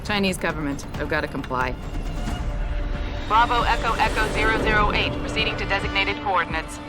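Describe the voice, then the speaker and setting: An actress playing a brash, wisecracking pilot in a video game, sassy and informal in manner. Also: female voice, medium pitch, fairly quick, over loud music, lightly acted. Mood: wry.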